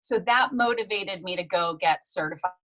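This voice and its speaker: A woman in her forties from the United States, a guest telling her life story in a casual, animated interview. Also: fairly low pitch, normal speed.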